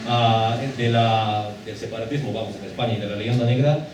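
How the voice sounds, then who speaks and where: A man's voice: fairly low pitch, steady tone, a little fast. Man, non-native speaker, audience question, hesitant fillers, roomy hall echo, background noise. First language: not Spanish. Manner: hesitant, many fillers and restarts. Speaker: audience member asking a question. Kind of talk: formal question from the floor. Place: lecture hall. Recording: echoey hall, distant mic, background noise.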